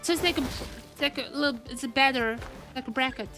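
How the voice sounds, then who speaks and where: A woman game streamer giving casual, expressive live commentary, often repeating her phrases. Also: sits high, a little fast, soft background music.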